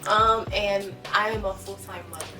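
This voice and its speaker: A woman's voice: mid-range voice, steady tone, normal speed, echoey room, recorded from a distance, over music. A woman in her thirties talking casually in an interview, rambling with many likes and ums. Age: 30s. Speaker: guest.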